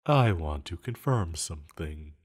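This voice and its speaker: A man's voice: animated, slightly rough, exaggerated voice. A man playing a banished sorcerer villain, sly, seductive and scheming, speaking in a teasing, theatrical manner to the listener in an audio roleplay. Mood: sly, calculating. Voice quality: low-pitched. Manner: slow, teasing, theatrical, with lingering pauses.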